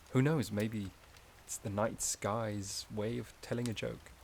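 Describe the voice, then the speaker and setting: A man playing a gentle, shy, caring forest companion in a soft-spoken ASMR roleplay, with a hesitant, slightly stammering delivery. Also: fairly low pitch, fairly even intonation, slow pace, vocal fry, a little hiss or hum. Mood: quietly enchanted.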